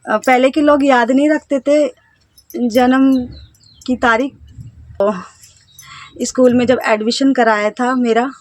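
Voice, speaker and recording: A woman in her thirties from India, a housewife telling her life story to camera in a halting, hesitant way. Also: high voice, fairly even intonation, a little mellow. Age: 30s. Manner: hesitant, halting, with self-conscious laughs and trailing phrases. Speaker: vlogger, housewife. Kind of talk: casual personal storytelling to camera.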